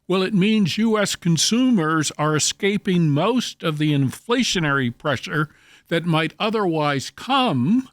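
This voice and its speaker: A man speaking as an expert commentator in an interview, halting and deliberate as he explains economics. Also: high-pitched, animated, no echo, studio quality.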